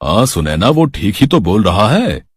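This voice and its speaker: A man voicing a good-humoured middle-aged Indian husband and father in a cartoon story, husky, coaxing and wry. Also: lowish voice, big pitch swings, normal speed, dry recording, studio quality.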